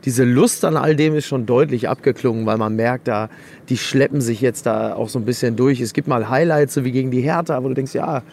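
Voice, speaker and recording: A man's voice: medium pitch, steady tone, a little fast, darkish tone, slight background noise. A man co-hosting a football podcast, giving his views in casual, conversational talk.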